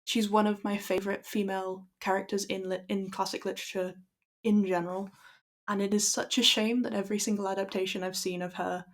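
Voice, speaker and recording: Young irish woman, bit croaky today, chatty reader doing a book stream. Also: mid-range voice. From Ireland. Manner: chatty with fillers and small laughs, then steady measured reading. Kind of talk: casual chat and reading a classic story aloud.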